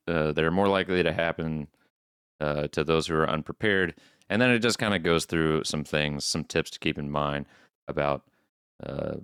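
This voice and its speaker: Very deep-voiced man recapping casually. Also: a bit bright, clear, resonant tone, no room sound, studio quality. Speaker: podcast co-host. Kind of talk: casual recap of horror videos.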